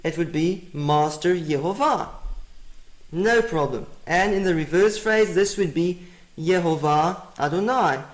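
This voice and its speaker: A man's voice: a little high, a little slow. A man whose first language is Afrikaans, giving a methodical teaching presentation and repeating his key points. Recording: roomy echo.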